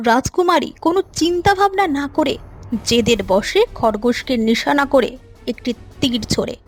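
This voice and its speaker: A woman narrator reading a scripted storybook-style moral tale for an animation. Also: sits high.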